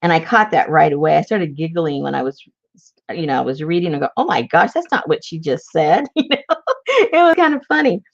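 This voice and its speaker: Gravelly woman chatting while painting. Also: sits low, big pitch swings, a little fast, muffled recording. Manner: chatty, rambling, mutters to herself while working. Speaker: art tutorial host. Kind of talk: casual chat while painting.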